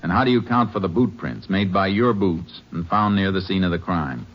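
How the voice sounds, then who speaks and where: A man acting a calm, methodical Texas Ranger investigator in an old radio drama, measured and matter-of-fact, with an American accent. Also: sits low. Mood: firm, probing. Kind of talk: 1950s radio drama acting and first-person narration. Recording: muffled vintage radio recording, faint music.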